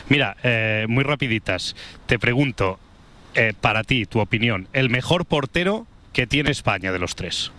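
Mid-pitched Spanish man asking questions. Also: lively intonation, moderate pace, bright, forceful tone. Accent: Spain Spanish. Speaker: sports interviewer, co-host. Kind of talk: conversational interview questions.

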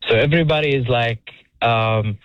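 A young man from Saudi Arabia, calling in to a radio show, talking earnestly and haltingly. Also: mid-range voice, no room sound. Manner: earnest, halting, restarts phrases. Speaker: caller. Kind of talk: casual phone-in chat. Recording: muffled phone-in line.

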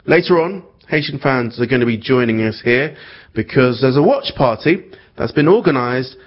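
A male reporter presenting a news segment and interviewing people in the field, speaking in a measured way with small hesitations. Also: medium pitch, lively intonation, no echo, highs cut off.

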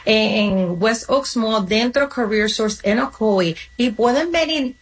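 A woman with a fairly high voice speaks as an interview guest. She gives practical explanations, leaning on examples and talking straight to the listener. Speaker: guest, community nonprofit representative. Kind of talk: interview answers, explanatory advice.

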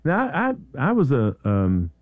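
A man hosting a morning radio show, chatting casually and rambling with halting restarts, his voice a little raspy. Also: medium pitch, huge pitch swings, no echo, phone-quality sound. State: slightly raspy voice. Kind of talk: casual on-air chat.

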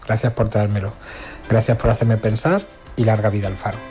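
A man's voice: lowish voice, steady tone, fairly quick, loud music behind the voice. Tender man leaving a radio message. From Madrid, Spain. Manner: tender, reminiscing. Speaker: caller. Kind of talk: recorded listener message.

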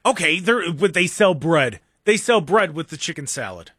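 Animated male radio host, higher-pitched voice. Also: normal speed, slightly rough, no echo, studio quality. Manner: teasing, conversational, reads out listener texts. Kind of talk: casual talk radio banter. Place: radio studio.

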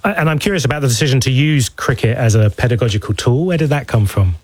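A man's voice: medium pitch, animated, fast talker, breathy edge, dry recording. Male radio news presenter, close dry sound. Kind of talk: broadcast news interviewing. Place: radio studio.

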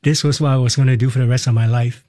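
A mellow, warm-toned man in his fifties with a Singaporean accent speaks as an interview guest. He tells his story candidly and reflectively, in short phrases that often restart. The sound is close and dry, with no room around him. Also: medium pitch, fairly even intonation, rapid-fire, dry recording. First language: Cantonese. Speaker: interview guest, musical theatre performer.

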